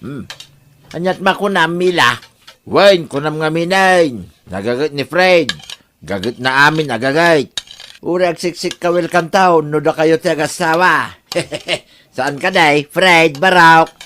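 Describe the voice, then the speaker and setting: A man's voice: a little high, a little slow, bright, forceful tone, slight background noise. A man playing a jolly, teasing old father in an Ilocano audio drama.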